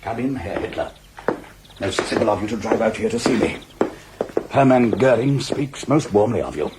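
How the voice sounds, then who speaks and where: An actor playing a gruff but courteous older Prussian field marshal in a radio drama, clipped and formal. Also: male voice, fairly low pitch, lively intonation, moderate pace, over loud music, natural voice.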